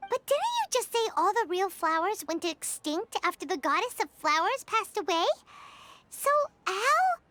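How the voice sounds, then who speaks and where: A woman voicing a small, excitable childlike fairy companion in a video game, chatty, blunt and exclamatory. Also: extremely high pitch, normal speed, a little hiss or hum, exaggerated voice. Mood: curious. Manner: exclamatory, expressive.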